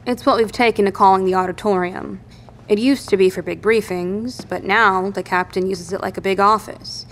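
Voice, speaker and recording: An actress playing a helpful, matter-of-fact military lieutenant in an audio drama, speaking briskly and plainly. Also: female voice, medium pitch, steady tone, slight background noise, natural voice. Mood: businesslike. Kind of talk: naturalistic audio drama dialogue.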